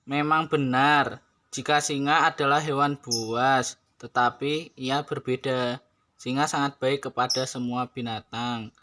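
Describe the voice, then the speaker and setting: Bright, emphatic goat played by a man. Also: medium pitch, steady tone, measured pace. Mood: earnest. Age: young adult. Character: earnest goat defending a misjudged lion. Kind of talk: puppet-show fable dialogue.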